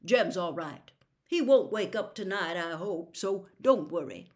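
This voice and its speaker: A calm country doctor, as a woman reader puts him on, steady and reassuring. His few words come even and unhurried. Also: fairly low pitch.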